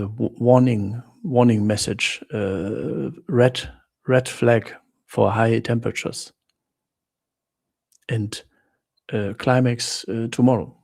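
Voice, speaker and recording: A German man with a German accent hosting a casual live question-and-answer stream, rambling with halting restarts and fillers. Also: medium pitch, darkish tone, slightly rough. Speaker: livestream host answering viewer questions.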